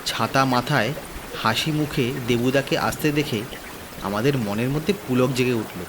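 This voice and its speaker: A man voicing a curious, friendly young villager in a horror cartoon, expressive. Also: mid-range voice, normal speed, lightly acted. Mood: curious, amiable. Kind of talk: dramatized cartoon dialogue and narration. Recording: noisy rain ambience behind the voice.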